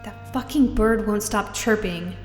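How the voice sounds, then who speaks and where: A woman acting a distressed young woman trapped in a nightmare in an audio drama, speaking in a halting, anguished way. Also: mid-range voice, lightly acted. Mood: weary dread. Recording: echoey reverberant hall with music behind.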